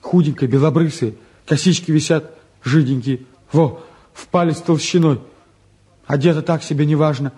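An actor playing a restless, cheeky and candid teenage son in a 1950s Soviet radio play, talking in an impulsive, colloquial way. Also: male voice, fairly high pitch, steady tone, moderate pace, warm tone, husky. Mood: wry, confessional.

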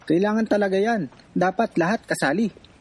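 A man's voice: sits high, moderate pace, crowd noise, close to an everyday voice. A man playing a friendly, casual neighbour in a radio drama, with noisy surroundings. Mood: agreeable.

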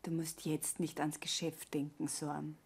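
A woman's voice: low-pitched, completely flat delivery, measured pace, gravelly, husky, exaggerated voice. An actress playing a pleading young woman in a naturalistic radio play, intimate and pressing. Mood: wistful, reproachful. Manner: pleading, intimate, often dropping to a whisper. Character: pregnant young woman pressing her lover to marry.

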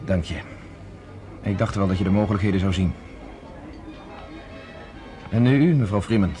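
An actor playing a confident, courteous underground leader in a radio play, speaking in a measured, formal way. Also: male voice, low voice, warm tone, soft background music, lightly acted. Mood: persuasive. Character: confident, persuasive underground leader, former army captain, courteous.

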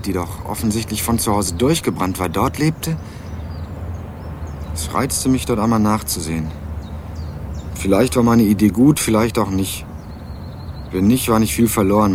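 A man's voice: lowish voice, noisy background. A young man narrating a crime radio drama in first person, dry and ironic. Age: young adult. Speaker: narrator and main character.

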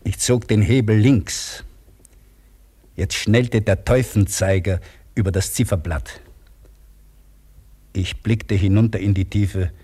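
Husky, dark-voiced crane operator. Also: male voice, lowish voice, steady tone, takes it slowly, long pauses. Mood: focused, tense. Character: male cable crane operator recounting his work. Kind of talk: scripted radio play narration.

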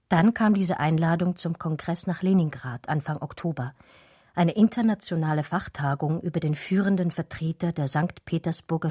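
An actress in a radio drama playing an emotional, wistful art historian, with a breathy, husky voice that often sinks toward a whisper. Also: female voice, deep voice, dark tone, no room sound, clean studio sound. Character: distraught art historian recalling a lost lover, wistful and urgent. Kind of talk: radio drama dialogue and intimate first-person narration.

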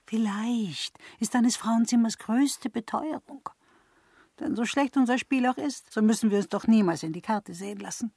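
A pert, high, husky young maid. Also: female voice, moderate pace, dry recording, studio quality. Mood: teasing, coy. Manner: saucy, coy, sharp-tongued. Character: pert, shrewd, teasing young lady's maid. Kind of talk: comic period radio play acting.

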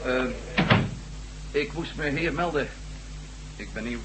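A man's voice: medium pitch, takes it slowly, close to an everyday voice. Actor as hesitant, deferential male job seeker. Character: disguised American man posing as humble job-seeking mechanic. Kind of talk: Dutch radio drama acting. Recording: noisy old radio play with hum.

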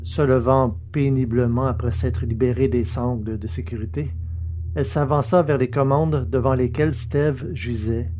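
A man's voice: medium pitch, steady tone, moderate pace, background noise. A man reading scripted literary narration for a science fiction story, as its narrator.

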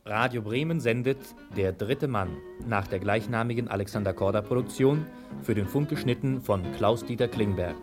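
A man's voice: mid-range voice, moderate pace, hardly any pauses, music in the background. A man making a formal announcement for a vintage radio play.

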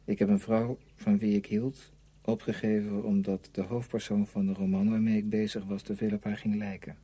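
An actor playing a brooding, self-tormented novelist in a radio play, speaking in an introspective, deliberate way. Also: male voice, fairly low pitch, little pitch movement, mellow, slightly rough. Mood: anguished, confessional. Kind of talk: Dutch radio play acting.

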